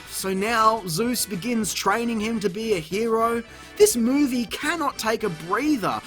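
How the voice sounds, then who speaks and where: Sarcastic, sweary video reviewer, mid-pitched and animated, theatrical exasperation, music bed behind. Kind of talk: scripted comedic review narration.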